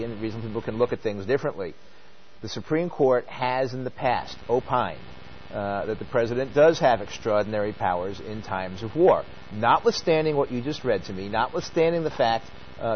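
A man's voice: mid-range voice, muffled recording, slight background noise. A man co-hosting a call-in radio show who argues his side with frequent uh fillers and restarts. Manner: argumentative, hesitant with many uh fillers. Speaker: radio co-host.

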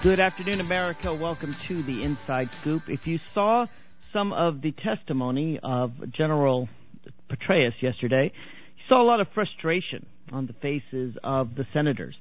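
A man's voice: fairly high pitch, animated, normal speed, soft background music. A man hosting a radio interview show, talking in a conversational, wry way. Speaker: radio talk show host. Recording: dry, phone-like narrow sound.

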